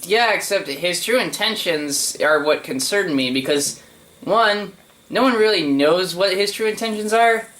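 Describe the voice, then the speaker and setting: A man with a fairly high voice commentates casually on a game. He sounds like a host reacting as he goes, opinionated and colloquial in his wording. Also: lively intonation, measured pace, a bit bright. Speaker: gaming video host.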